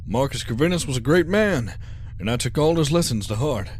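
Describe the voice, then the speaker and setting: Man playing a cocky, lively showman convict. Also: mid-range voice, brisk, very noisy, close to an everyday voice. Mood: charming. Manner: suave, cocky, persuasive. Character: smooth, confident showman convict, schooling his rivals. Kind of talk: sci-fi audio drama acting.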